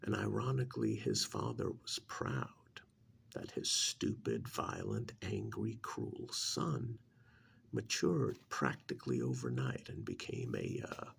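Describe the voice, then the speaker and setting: A man voicing an ancient alien creature who scorns humans, in a gravelly, breathy ASMR roleplay monologue that often sinks into whispers, slow and brooding. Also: a bass voice, huge pitch swings. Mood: quietly sinister. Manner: slow, deliberate, brooding, with sighs and pauses.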